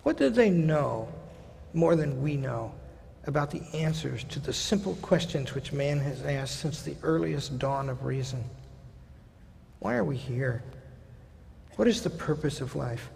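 Gravelly older man lecturing at a podium. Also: a little high, animated, darkish tone. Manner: conversational, wry asides, slows down for quoted passages. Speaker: college president giving a lecture. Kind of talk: seminar lecture. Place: large lecture hall stage at a podium. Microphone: podium microphone. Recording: hall reverb.

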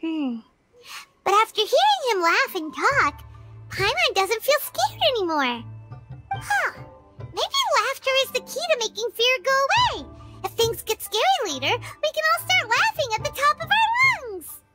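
A woman's voice: extremely high pitch, huge pitch swings, normal speed, over loud music, full character voice. A woman voicing a tiny, childlike fairy girl companion in a video game, perky and exclaiming. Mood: musing, perky. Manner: perky, exclaiming, refers to herself in third person. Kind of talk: cute video game character acting.

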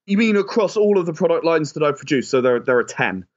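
A man's voice: a little high, lively intonation, quick pace, muffled recording. A man being interviewed as a game creator and producer, explaining his work conversationally.

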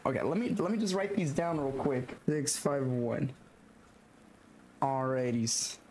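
A young man with a hoarse, raspy voice from being sick, talking through a horror game and reacting to scares. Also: medium pitch, a little mellow, a little hiss or hum. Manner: jumpy, swearing, talks to himself while playing. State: hoarse from illness. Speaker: gaming YouTuber. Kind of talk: horror game let's play commentary. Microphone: headset.

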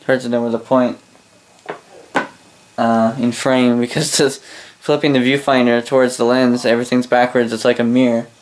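A Canadian man casually demonstrating a gadget as a reviewer, halting, rambling and correcting himself as he goes. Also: mid-range voice, level tone, a little hiss or hum. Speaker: product reviewer. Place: small indoor room at a desk.

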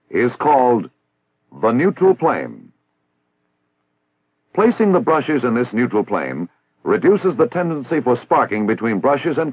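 Male narrator, American, for vintage 1960s instructional film; formal and didactic, husky edge, very dry lo-fi sound. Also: medium pitch, dry recording. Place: recorded voice-over.

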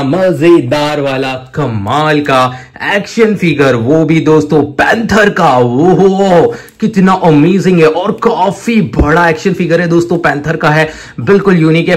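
Effusive higher-voiced man showing off toys. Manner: effusive, repeats superlatives and addresses viewers as friends. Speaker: toy review host. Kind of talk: casual toy showcase chat mixing in English words.

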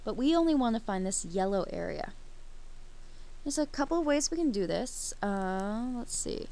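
A woman's voice: medium pitch, lively intonation, normal speed, vocal fry, dark tone. A woman teaching a math lesson over a screen recording, explaining each step while she works the problem.

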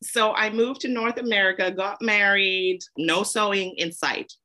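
A woman's voice: mid-range voice, fairly even intonation, a little slow, bright, forceful tone. A woman from Zambia, a podcast guest, telling her story casually and laughing often.

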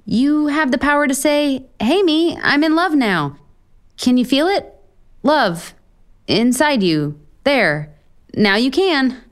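An actress playing a flustered, self-deprecating author in a romantic comedy audio drama, with an American accent and halting, deadpan asides. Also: female voice, high-pitched, full character voice. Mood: halting reading aloud. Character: flustered, sardonic, self-deprecating woman author on book tour.

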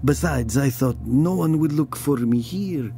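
An actor playing a brooding killer who confesses his dark tale in a theatrical horror audio drama, deliberate and given to whispers. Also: a little high, slight background noise. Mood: dark, intense. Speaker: narrator of an audio horror story. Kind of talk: dramatic first-person storytelling, theatrical.

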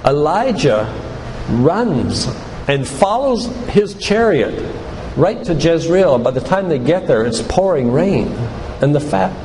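High-voiced older man preaching in echoey hall. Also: very animated, slow, a little mellow. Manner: didactic storyteller, addresses listeners directly. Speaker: physician giving a guest talk at a church. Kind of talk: sermon-like lecture retelling Bible stories. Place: large room, church. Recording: reverberant hall with clear background noise.

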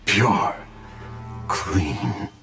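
An American man voicing an arrogant, menacing superhuman tyrant in a video game, deliberate and contemptuous, with a needy, unstable edge. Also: sits low, animated, fairly bright tone, soft background music, full character voice. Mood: menacing, smug. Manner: slow, deliberate, contemptuous delivery. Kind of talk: dramatic video game acting.

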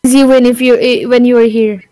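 A woman chatting casually and excitably while playing a mobile shooter. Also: fairly high pitch, brisk, no room sound. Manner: excitable, laughing. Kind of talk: casual gameplay chat. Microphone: phone mic. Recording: dry close sound with game music behind.

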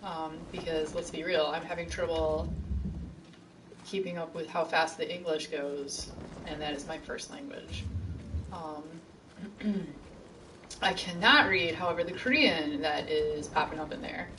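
A woman's voice: sits low, a little slow, recorded from a distance, noisy background. A woman teaching a college class on a stream, chatting casually and answering chat questions from her couch at home. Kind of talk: casual lecture over gameplay. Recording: game music and sound behind her.

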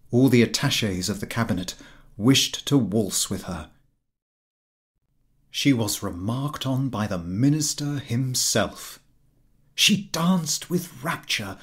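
A man narrating a literary short story in a measured, dramatic manner. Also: medium pitch, breathy edge. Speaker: audiobook narrator. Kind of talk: expressive scripted story narration.

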